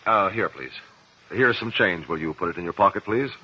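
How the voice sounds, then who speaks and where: An actor with an American accent playing a composed, probing courtroom investigator in a 1950s radio drama, speaking in a deliberate, formal, precise way. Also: male voice, deep voice, husky. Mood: calm, probing. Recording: muffled old 1950s radio recording.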